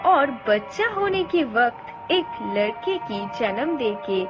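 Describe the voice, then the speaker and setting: A woman narrates a ghost story as a dramatic storyteller. Her high voice moves with lively swings at an unhurried pace, over clear background music. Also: dull audio.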